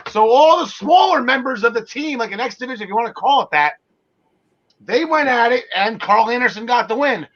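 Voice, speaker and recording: Male wrestling podcast host: high, forceful voice, animated recaps, slightly dull recording. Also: a little fast. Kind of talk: wrestling news recap and panel chat.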